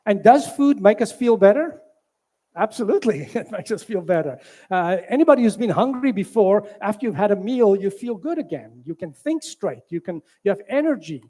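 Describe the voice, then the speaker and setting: A man in his sixties from South Africa with a South African accent, a physician giving a halting health talk at a church, with many fillers. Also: high-pitched, expressive, dark tone. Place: church hall.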